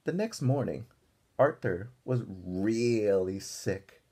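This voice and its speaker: A young man reading a picture book aloud to children as a teacher, pausing for encouraging asides and questions. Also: on the low side, expressive, warm tone, husky. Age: young adult. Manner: encouraging, asks listeners questions. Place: small room at home, indoors.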